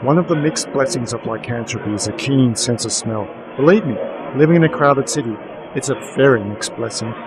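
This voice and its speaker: A man playing a weary, wry private investigator who narrates a noir radio play in deadpan style. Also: mid-range voice, a little fast, a crowd in the background, lightly acted, rough recording. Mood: wry, resigned. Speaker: narrator and protagonist.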